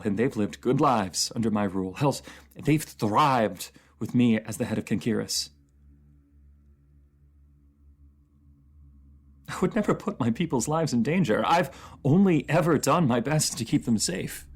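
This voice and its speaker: A voice actor playing a bitter, wounded fantasy ruler in an emotional dramatic monologue, halting and speaking directly to one listener. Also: medium pitch, slightly rough. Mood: wounded, defensive.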